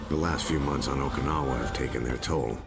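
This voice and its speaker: A man voicing a weary, hardened American Marine veteran with a gravelly voice, giving grave, measured dramatic narration in a war video game. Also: very deep voice. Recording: under loud cinematic music.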